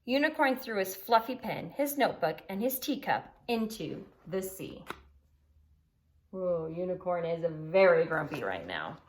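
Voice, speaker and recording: A woman's voice: on the low side, sing-song, measured pace, darkish tone. A woman reading a children's story aloud expressively and explaining it simply as a teacher would.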